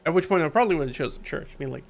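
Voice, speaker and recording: Casual male game streamer with creaky voice. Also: fairly high pitch, lively intonation, racing. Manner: informal, slangy, wry. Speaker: streamer playing a visual novel. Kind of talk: live playthrough commentary, mixing reading dialogue aloud with casual reactions. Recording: faint background music.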